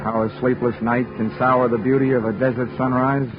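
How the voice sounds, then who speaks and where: A man's voice: medium pitch, little pitch movement, fairly quick. A man narrating and acting as a detective in a 1940s radio drama, wry and dry. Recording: dull old radio recording with music.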